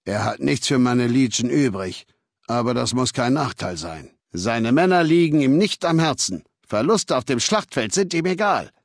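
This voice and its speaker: An actor playing a ruthless, learned middle-aged warlord in a video game, speaking in a measured, authoritative, lecturing way with cold arrogance. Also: male voice, medium pitch, expressive, creaky, dry recording, clean studio sound. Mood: cold, explanatory. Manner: measured, authoritative, lecturing, coldly matter-of-fact. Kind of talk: scripted video game dialogue in German.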